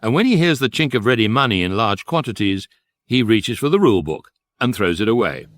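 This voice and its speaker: Deep, wry guidebook narrator. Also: male voice, a little fast, no echo. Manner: wry, deadpan irony. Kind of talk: scripted narration for sci-fi radio comedy.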